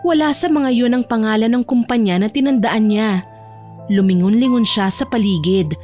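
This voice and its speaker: High-voiced woman narrating a romance story. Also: moderate pace, a little mellow, faint music behind.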